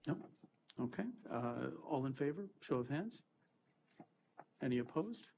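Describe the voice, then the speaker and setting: A man's voice: mid-range voice, animated, unhurried, vocal fry, darkish tone, rough recording. A man with a cold, sounding a little stuffy, chairing a formal committee meeting and moving through agenda items. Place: board meeting room.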